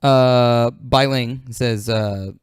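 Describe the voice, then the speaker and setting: A man's voice: medium pitch, fairly even intonation, drawn-out words, smooth, no echo, clean studio sound. A man hosting a podcast in casual, informal panel chat, swearing freely. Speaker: podcast host.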